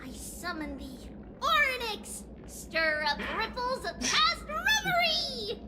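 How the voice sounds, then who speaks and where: Tiny high-pitched little girl. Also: sing-song, slow pace, very noisy, heavily acted. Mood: earnest, wondering. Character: small chirpy little girl, earnest and curious. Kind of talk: English game dub acting. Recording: reverberant game audio with background music.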